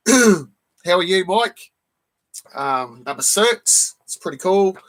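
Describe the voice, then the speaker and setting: A man hosting a casual live stream, croaky from a cold, who keeps clearing his throat and greets viewers in the chat one by one. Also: high-pitched, expressive, slow pace, a bit bright, rough recording. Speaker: live stream host showing comic books.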